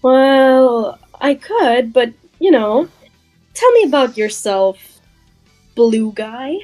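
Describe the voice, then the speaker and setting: A bossy young lake maiden, played by a woman, speaks high with lively swings of pitch. She is indignant and blunt, stalling in casual phrases, with faint music behind her cartoon lines. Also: takes it slowly, exaggerated voice, low-quality recording. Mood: haughty. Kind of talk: improvised comedic fandub.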